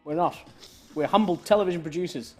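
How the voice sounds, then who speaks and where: A man's voice: fairly high pitch, fast talker, faint music behind. A man born in Scotland hosting a live online quiz, joking with his co-host and laughing often. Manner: jokey, laughing often, trailing off quietly.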